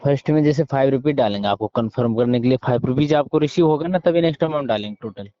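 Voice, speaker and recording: A man's voice: mid-range voice, rapid-fire. An Indian man on a muffled recorded phone call, negotiating informally in an insistent, repetitive way with English words mixed in. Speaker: phone caller posing as a soldier buyer. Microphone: phone line.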